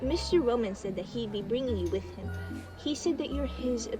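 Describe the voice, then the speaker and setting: A woman's voice: fairly high pitch, animated, a little fast, distant mic, over music, close to an everyday voice, poor audio. A woman playing an innocent, chatty young child in an audio roleplay, eager and rambling. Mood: curious, chatty.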